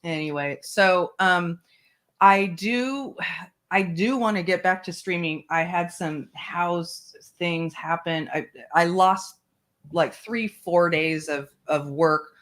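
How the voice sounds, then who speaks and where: A woman with a slightly low voice hosts a casual online book club stream. She rambles in an animated way and laughs often, chatting through a home streaming mic. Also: slow. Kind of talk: casual livestream chat.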